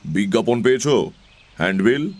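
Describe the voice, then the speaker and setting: A man's voice: fairly low pitch, lively intonation, moderate pace. A man voicing a pompous, fussy talking crow bookkeeper in a theatrical children's audio story. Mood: wheedling, sly. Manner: pompous, theatrical, officious delivery.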